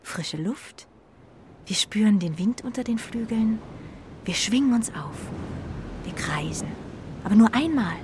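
A woman playing a homesick, loyal carrier pigeon in a children's radio play, speaking in a dreamy, hesitant, breathy way. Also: mid-range voice, expressive, measured pace, long pauses, noisy background, natural voice. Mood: longing, excited.

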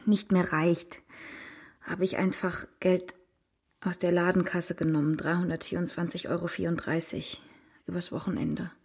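A woman playing a guilty, pleading mother in a radio play, breathy, halting and defensive. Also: fairly low pitch, fairly even intonation, darkish tone. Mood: ashamed. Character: guilty, pleading mother confessing to her husband.

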